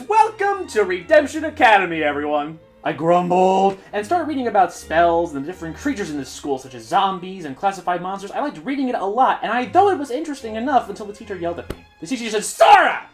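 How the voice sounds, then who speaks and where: A man hosting his channel, reading bad fanfiction aloud in an over-the-top, mocking dramatic style with sudden shouts. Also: high voice, very animated, fast, few pauses, faint music behind. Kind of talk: mock-dramatic comedic reading.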